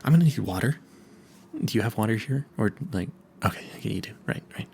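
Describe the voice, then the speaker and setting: A man's voice: lowish voice, expressive, gravelly, a little mellow, a little hiss or hum. A man playing a nervous, smitten and clueless vampire hunter in an audio roleplay, stammering and correcting himself as he speaks to the listener. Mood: nervous, eager. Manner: flustered, stammering, halting and self-correcting.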